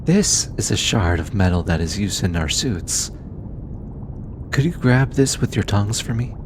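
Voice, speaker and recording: A man voicing a shy, gentle young alien scientist in an intimate audio roleplay, speaking softly and hesitantly with stammers. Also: expressive, measured pace. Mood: gentle, guiding. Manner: hesitant, stammering, soft-spoken. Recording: clear low background rumble noise.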